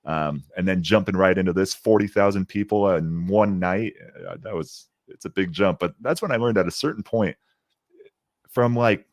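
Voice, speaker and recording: A young man with a deep, gravelly voice telling upbeat stories as a guest on a video call, laughing often. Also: normal speed, no room sound, clean studio sound. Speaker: guest, ring announcer. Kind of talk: casual interview chat.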